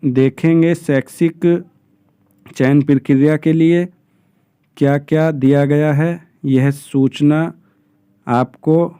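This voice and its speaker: A man presenting an informational explainer, reading official details in a measured, formal way and explaining them plainly. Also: a little high, fairly even intonation, warm tone, clean tone, dry recording. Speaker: exam-prep presenter explaining job notices.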